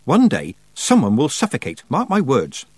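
A man's voice: mid-range voice, huge pitch swings, normal speed, breathy edge, dry recording, pitched above the performer's own voice. A man voicing a fussy middle-aged housekeeper who talks in a prim, disapproving way. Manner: prim, sniffy.

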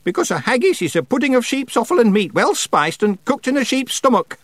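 Man voicing a shrill, stern old witch. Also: big pitch swings, normal speed, no room sound, full character voice. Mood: sharp. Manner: commanding, sharp interrogator. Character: stern, suspicious ancient witch, woman of 113. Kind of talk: audiobook character voice.

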